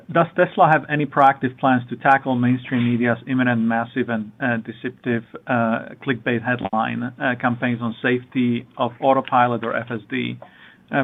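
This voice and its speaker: A man with a mid-pitched voice acts as moderator, reading out submitted questions on a corporate call. He sounds thin and boxed in by a telephone-like connection. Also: gravelly. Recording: narrow phone-like conference call line.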